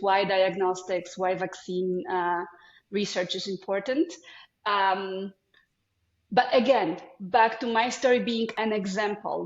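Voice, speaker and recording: Polish lady guest, lots of ums, echoey room, kinda muffled audio. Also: medium pitch. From Poland. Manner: hesitant, many ums, friendly. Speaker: guest, foundation CEO. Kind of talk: casual interview talk. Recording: echoey, dull lo-fi.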